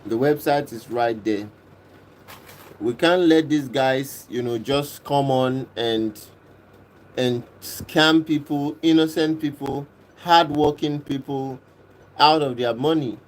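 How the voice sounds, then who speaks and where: A man from Kenya hosting a livestream, reading and answering viewers' comments in a casual, unhurried way and often repeating himself. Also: medium pitch, a little hiss or hum. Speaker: livestream host reading chat. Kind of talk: casual live chat.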